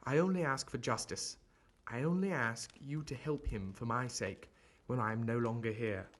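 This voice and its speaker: Man playing a courteous, guarded gentleman doctor. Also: medium pitch, moderate pace, lightly acted. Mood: earnest, pleading. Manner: formal, courteous, earnest phrasing. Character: genial, guarded Victorian gentleman doctor hiding a secret. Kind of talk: audio drama acting.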